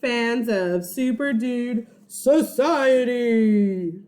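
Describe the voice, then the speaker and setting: A woman voicing a loyal, excitable schoolboy best friend in a children's storybook, speaking emphatically and exclaiming. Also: on the high side, very slow. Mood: rallying.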